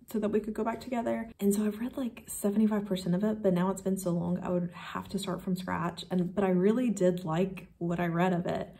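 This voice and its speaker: Mellow, lower-voiced woman chatting about books. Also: gravelly. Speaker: book reviewer vlogger. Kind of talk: casual unscripted book chat to camera.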